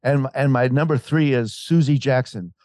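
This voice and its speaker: A man's voice: mid-range voice, normal speed, darkish tone, no room sound, studio quality, natural voice. A man imitating a young woman book narrator who gushes with praise. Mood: gushing. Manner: effusive, breathless praise.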